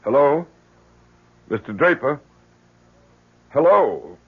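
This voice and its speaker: An actor playing a worried, stubborn middle-aged father in an old radio drama, halting, earnest and insistent with a husky voice. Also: on the high side, very animated, exaggerated voice. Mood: urgent, puzzled. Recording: very muffled vintage radio recording.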